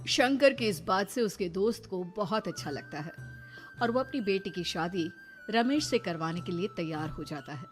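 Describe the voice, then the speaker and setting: A woman's voice: mid-range voice, expressive, moderate pace. A woman narrating a scripted story in a dramatic storytelling style. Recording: music behind the voice.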